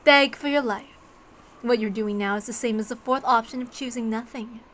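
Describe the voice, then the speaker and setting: A woman's voice: a little high, moderate pace, fairly bright tone, lightly acted. A woman voicing a cruel, condescending demon examiner in a story reading, taunting with mocking, coaxing questions.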